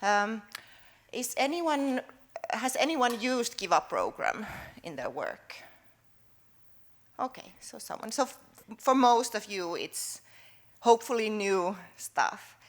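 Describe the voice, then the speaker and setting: A woman's voice: on the high side, big pitch swings, slow pace. Researcher, woman, conference workshop talk, friendly and full of fillers. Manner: friendly, loose, with frequent fillers and restarts. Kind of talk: workshop presentation. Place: conference room.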